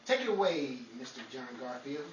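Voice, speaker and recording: A man playing an upbeat, smooth-talking 1940s radio host and announcer, theatrical and salesmanlike. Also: mid-range voice, very animated, moderate pace, recorded from a distance, dull audio, natural voice. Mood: smooth announcing. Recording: echoey room, off mic, faint noise.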